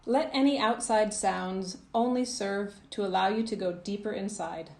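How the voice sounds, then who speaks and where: A woman's voice: medium pitch, gravelly. Woman guiding a meditation in a roomy echo. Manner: soothing, gentle, measured with trailing phrase endings. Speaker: coach guiding a visualisation. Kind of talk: guided meditation, scripted narration.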